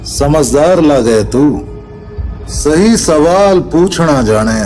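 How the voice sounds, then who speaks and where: A man's voice: fairly high pitch, lively intonation, drawn-out words, darkish tone, soft background music. A man playing a sly, fearless village man with a taunting manner, in a horror audio drama. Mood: knowing, grave.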